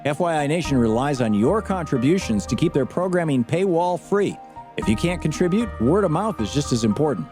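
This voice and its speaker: A man's voice: on the high side, lively intonation, fairly quick. A man reading a scripted radio promo as an announcer. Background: music.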